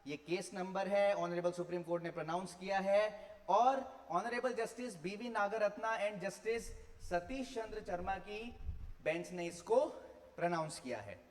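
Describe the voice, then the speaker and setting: Man, Indian accent, high pitch, didactic lecture delivery, Hindi-English mix, rhetorical questions, long echoing reverb. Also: moderate pace. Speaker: law teacher.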